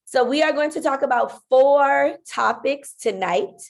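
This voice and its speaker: A woman's voice: high voice, measured pace, creaky. A woman with a stuffy, nasal voice from congestion, running an online parent meeting as a school leader and talking in a friendly way with frequent ums. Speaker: school principal.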